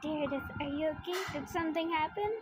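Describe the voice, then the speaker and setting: A woman's voice: high-pitched, fairly even intonation, fast talker, music in the background. A woman game streamer bantering casually in a playful, teasing way while reacting live to what she plays. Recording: noisy home livestream mic.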